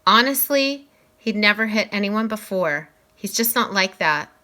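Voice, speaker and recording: Woman acting an exasperated, scolding mom, highly animated. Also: unhurried. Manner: scolding, raised voice. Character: exasperated mother, angry and shocked at her son.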